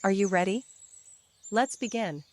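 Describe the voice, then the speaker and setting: A woman narrating a scripted children's bedtime story in simple, deliberate sentences. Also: medium pitch, slight background noise. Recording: faint birdsong and nature ambience. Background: birdsong, crickets, forest ambience.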